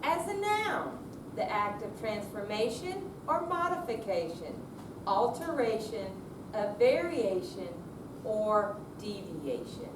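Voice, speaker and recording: Woman, readers theater, swinging pitch, far-off mic, echoing space, clear background noise. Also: very animated, takes it slowly. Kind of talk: dramatic reading of a dictionary definition. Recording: distant mic, echoey room, noisy.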